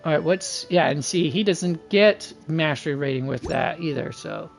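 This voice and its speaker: A man's voice: on the high side, normal speed, gravelly, faint music behind. A man doing casual livestream game commentary, thinking aloud and muttering to himself in a folksy, slangy way. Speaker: game streamer.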